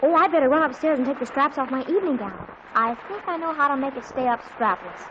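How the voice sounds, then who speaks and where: Bubbly teen girl, high and rapid-fire, hissy old radio sound. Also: lively intonation. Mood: gushing. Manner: gushing, bubbly. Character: excitable teenage girl, giddy and breathless. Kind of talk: 1940s radio comedy acting. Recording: hissy dull 1940s radio recording.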